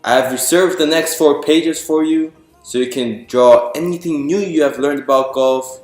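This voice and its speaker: A man's voice: on the high side, unhurried, darkish tone. A man reading a picture book aloud to an audience in an explanatory, storybook narration style. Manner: explanatory, poses questions to listeners and answers them. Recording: roomy echo with music behind.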